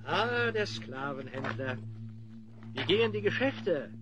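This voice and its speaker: A man's voice: on the high side, sing-song, slow, noisy background. A man playing a haughty, stingy chief overseer in a fantasy audio drama, imperious and scornful in manner. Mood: condescending.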